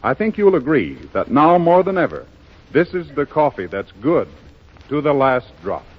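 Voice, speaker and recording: A man's voice: fairly high pitch, very animated, moderate pace, over loud music. A man reading a scripted 1930s radio advertisement as an announcer, in a polished, persuasive salesman's manner. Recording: dull, muffled 1930s radio broadcast.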